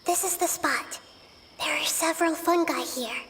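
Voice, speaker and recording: A woman voicing a small, gentle, wise child-like goddess in a video game, speaking softly and thoughtfully. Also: very high-pitched, normal speed, a little hiss or hum. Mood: watchful, calm. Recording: game music behind the voice.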